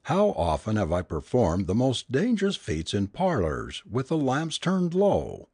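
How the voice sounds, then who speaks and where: A man reads the part of a frightened, submissive woman in a melodramatic audiobook tale. The voice swings widely in pitch with anguished pleading, husky at the edges and recorded very dry. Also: wildly swooping pitch, measured pace, a little mellow, no room sound, heavily acted. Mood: anguished, bitter. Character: worn-out, terrified, submissive woman, a medium's assistant. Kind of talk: dramatic audiobook character reading.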